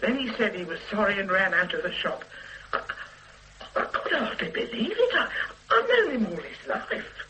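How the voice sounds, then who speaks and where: Blunt shopkeeper. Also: female voice, medium pitch, wildly swooping pitch, moderate pace, sharp tone, rough recording. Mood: stubborn. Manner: blunt, stammering restarts. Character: blunt middle-aged woman shopkeeper, defiant. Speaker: witness. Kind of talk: radio drama acting.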